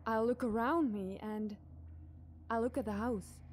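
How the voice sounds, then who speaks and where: Woman slowly narrating a scary story. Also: a little high, slight background noise. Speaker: podcast storyteller.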